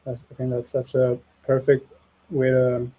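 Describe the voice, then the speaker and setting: Flat, husky-voiced male host. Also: mid-range voice, a little slow, low-quality recording. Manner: agreeable, frequent "yeah" backchannels, some stammering. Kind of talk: casual webinar conversation.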